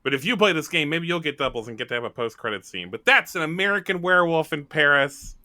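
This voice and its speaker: A man's voice: fairly high pitch, expressive, fast talker, sharp tone, no echo, clean studio sound. A man hosting and narrating a tabletop game in a casual, improvised, conversational way.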